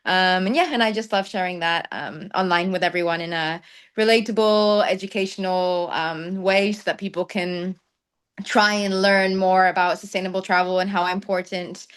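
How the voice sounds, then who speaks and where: A woman's voice: on the low side, fairly even intonation, moderate pace, fairly bright tone, smooth. A young woman who grew up in the Netherlands, a travel content creator answering interview questions thoughtfully, with many ums and light laughs. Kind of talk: conversational interview.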